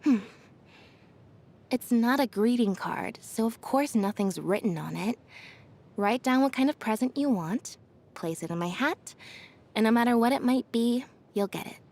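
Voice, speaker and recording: A woman voicing a reserved, observant young magician's assistant in a video game, understated and deadpan. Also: a little high, measured pace. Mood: calm, matter-of-fact. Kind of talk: scripted video game character voice lines. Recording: music behind the voice. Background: music.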